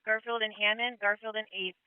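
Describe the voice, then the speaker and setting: A male police dispatcher speaking over radio traffic in a calm, clipped way, repeating back information. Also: high-pitched, quick pace, smooth, dry recording, narrow, tinny audio. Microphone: dispatch console radio.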